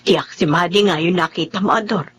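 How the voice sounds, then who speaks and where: An actress playing an earnest, regretful relative in a Filipino family drama, speaking deliberately and with a sighing manner. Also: female voice, lowish voice, a bit bright, a little hiss or hum, natural voice. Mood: worried, regretful.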